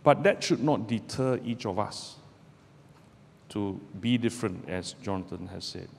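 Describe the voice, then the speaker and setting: A middle-aged man from Malaysia, a barrister giving a measured, deliberate public talk to students from a podium in a lecture hall. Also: lowish voice, gravelly. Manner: measured, deliberate delivery with pauses. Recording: roomy hall with long reverb, faint background noise.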